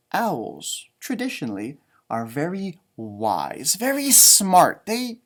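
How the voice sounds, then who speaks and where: An animated man hosts a storytime for young children. His pitch swings widely as he talks, with playful, rambling asides in the manner of a teacher reading aloud.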